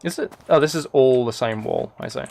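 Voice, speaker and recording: A man's voice: medium pitch, huge pitch swings, brisk, creaky, noisy background. A man live streaming a video game, chatting casually and thinking aloud in a rambling way. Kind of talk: casual chat while playing a video game.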